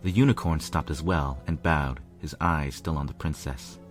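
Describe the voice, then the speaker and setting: A man's voice: rumbling low, moderate pace. A man narrating a fan fiction story as a lovelorn pony royal guard, grave and dramatic. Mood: tense. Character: male pony royal guard telling his lovelorn tale. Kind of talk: scripted first-person story narration. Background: music.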